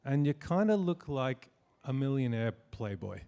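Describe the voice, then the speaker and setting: A man's voice: mid-range voice, very animated, moderate pace, gravelly, dark tone. A man hosting an interview, asking questions in a wry, teasing way with many hesitations. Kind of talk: casual interview talk.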